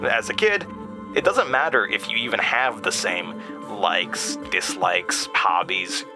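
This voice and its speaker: An actor playing an anxious, haunted young man in an audio drama, speaking in a halting, nervous, stammering confessional monologue. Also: fairly low pitch, music in the background. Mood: nostalgic, reflective. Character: anxious, haunted young Midwestern man recalling traumatic memories.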